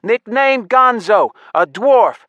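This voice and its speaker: A man voicing a tall, suspicious elf guard who barks brisk questions and commands in a fantasy audiobook. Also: on the high side, dry recording, dull audio, pitched above the performer's own voice, lightly acted. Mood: urgent, commanding.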